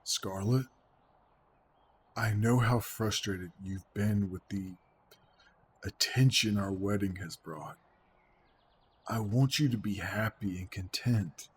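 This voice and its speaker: A man playing an earnest, tender young groom who speaks haltingly and sincerely in an audio drama. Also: lowish voice, slight background noise. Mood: tender, nervous.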